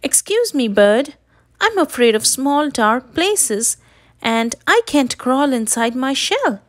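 A woman's voice: high voice, very animated, slow pace, pitched above the performer's own voice, heavily acted. A woman voicing a polite, anxious little boy turtle from a storybook, earnest and pleading.

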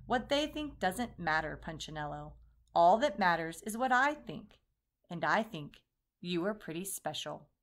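A woman voicing a kindly, deep-voiced bearded old wood carver who speaks slowly and softly. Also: expressive. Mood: reassuring. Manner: slow, soft, kindly.

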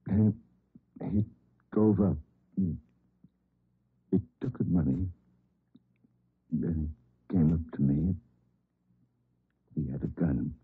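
A shattered father in a vintage radio play. His low, very husky voice drags out halting, repeated phrases in dazed grief. The old recording is tinny and close. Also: dry recording, heavily acted. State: grief-stricken, near collapse. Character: distraught, broken father of a kidnapped girl. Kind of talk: old-time radio crime drama acting.

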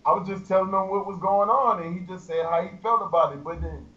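A man's voice: sits high, quick pace, fairly bright tone. A man giving a casual interview in loose street slang, with repeated verbal tags. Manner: loose, repeats "you know what I mean". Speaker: interview guest, rapper. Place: indoor studio room, on a video call. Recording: echoey, dull, noisy video-call audio.

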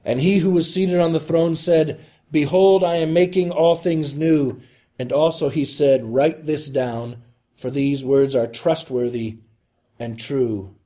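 A male preacher reads a scripted homily in a slightly high, soft-edged voice. He phrases solemnly and deliberately, taking his time over each line. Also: steady tone.

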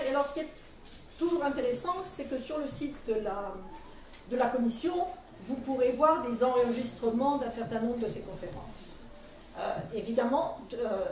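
A woman's voice: a little high, slight background noise. A middle-aged woman from France giving a conference talk, with many fillers and restarts, speaking from a panel table in a meeting room. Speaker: conference speaker for a centennial commission. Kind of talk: presentation at a teachers' training day. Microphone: table mic at a panel, filmed from the room. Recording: echoey room from far off.

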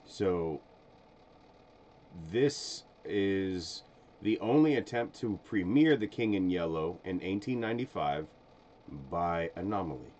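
A middle-aged man hosting a stream with casual, hesitant, halting commentary. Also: deep voice, animated, a little mellow. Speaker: streamer host. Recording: dull sound, faint background noise.

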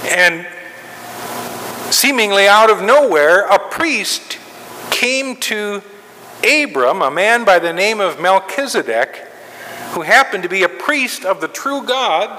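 Slow, bright-voiced man preaching in a church. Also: high voice, expressive, a little hiss or hum. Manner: measured, emphatic preaching with long pauses. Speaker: pastor. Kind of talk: sermon. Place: large wood-paneled church sanctuary, at a pulpit. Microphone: far camera, pulpit mic. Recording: reverberant church hall.

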